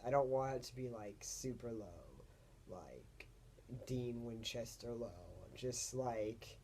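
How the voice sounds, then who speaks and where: A young man with a deep voice, talking casually to camera in a rambling, hesitant way as he searches for words. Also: a little mellow. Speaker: vlogger. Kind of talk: casual personal vlog monologue.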